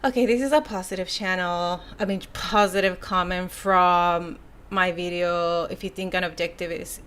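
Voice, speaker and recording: A young woman from Mexico with a Mexican Spanish accent, her first language being Spanish, reads viewer comments on a casual live stream. Her voice is bright and clear. She chats loosely, sighing and laughing between thoughts. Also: on the low side, steady tone, normal speed. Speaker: YouTuber reading viewer comments.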